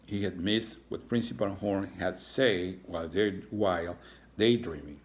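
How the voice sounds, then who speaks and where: A man narrating a children's storybook aloud, reading with occasional halting stumbles. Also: low voice. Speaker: storybook reader.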